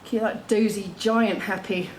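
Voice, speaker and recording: A woman's voice: medium pitch, fairly even intonation, background noise, close to an everyday voice. A woman on stage playing a gloating evil pantomime villain, sneering and theatrical, scheming to rule. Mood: scornful. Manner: theatrical, sneering, drawn-out cackling delivery. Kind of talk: stage pantomime acting to the audience. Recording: echoey theatre stage, music behind.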